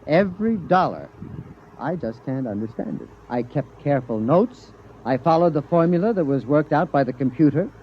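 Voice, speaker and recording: An earnest, bookish scientist played by a man with a very animated, mid-pitched voice. He speaks in formal, precise phrases like a naive academic, in 1950s radio style, over a dull, noisy recording. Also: moderate pace, natural voice. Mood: bewildered.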